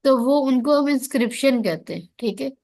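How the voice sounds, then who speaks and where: A woman lecturer with a Pakistani accent and a fairly high voice. She explains material in a teaching style, posing questions and answering them herself. She regularly checks that the listener is following. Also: animated, moderate pace, darkish tone. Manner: checks understanding often, asks and answers own questions. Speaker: law lecturer. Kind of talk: explanatory online lesson, reading out and explaining legal text.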